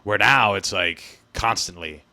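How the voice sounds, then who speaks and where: A man's voice: on the low side, unhurried, vocal fry, bright, forceful tone. A young adult man hosting a podcast, chatting in a laid-back way and laughing easily. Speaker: podcast host. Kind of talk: casual chat.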